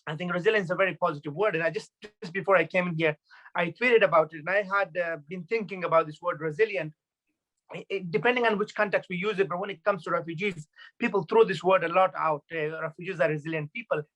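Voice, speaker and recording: Fast-talking man from Afghanistan, earnest. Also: high-pitched, fairly bright tone, rough recording. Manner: earnest, reflective, with frequent fillers and restarts. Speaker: guest speaker. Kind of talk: personal talk at an online event.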